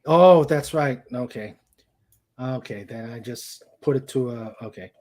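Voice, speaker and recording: A man hosting a casual livestream, speaking in a halting way as he searches for words. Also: mid-range voice, darkish tone, a little hiss or hum. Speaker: host of a live-streamed show.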